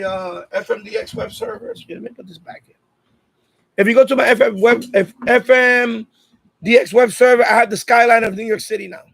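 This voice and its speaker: A man's voice: sits high, a bit bright, no echo, poor audio. A man hosting a casual live chat, rambling and often repeating himself as he talks to viewers. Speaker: livestream host.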